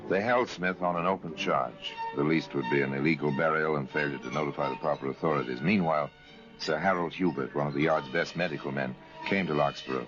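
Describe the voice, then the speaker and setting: A man narrating a dramatic old radio crime series in a grave, deliberate storyteller's manner. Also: a bass voice. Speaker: narrator and host. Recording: dull vintage radio recording, loud music behind.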